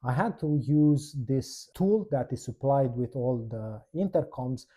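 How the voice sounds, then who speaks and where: A man's voice: medium pitch, lively intonation, a little slow, dark tone. A man narrating a product walkthrough, explaining methodically, one step at a time. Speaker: product presenter, installer.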